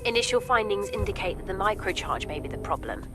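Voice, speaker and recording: An actress playing a detached researcher dictating findings in a video game, clinical and impersonal. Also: female voice, mid-range voice, animated, fast talker, music in the background, natural voice.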